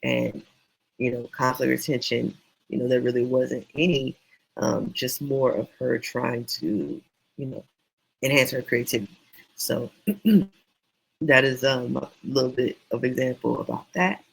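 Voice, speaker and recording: Gravelly, hoarse man chatting about writing. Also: mid-range voice, animated, unhurried, long pauses, poor audio. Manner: chatty, meandering, encouraging. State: losing voice, hoarse. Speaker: self-published author teaching writing. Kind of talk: casual writing tutorial.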